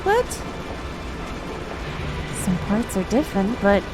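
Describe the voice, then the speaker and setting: A woman livestreaming a video game, chatting casually with viewers and reacting in an animated, giggly way. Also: medium pitch, takes it slowly. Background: video game music.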